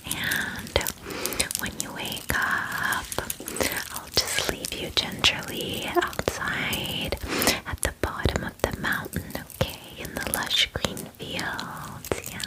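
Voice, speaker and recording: Girl doing a sweet doting dragon bestie for asmr roleplay, breathy whispering, takes her time, gushy and rambly. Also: poor audio. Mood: tender, soothing. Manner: gushing, hesitant, valley-girl filler-heavy chatter. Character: friendly clingy female dragon, bubbly doting bestie.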